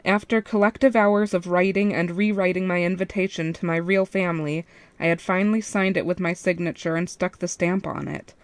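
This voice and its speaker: A woman's voice: fairly low pitch, steady tone, brisk, no echo. A woman reading a first-person fan fiction story as its narrator.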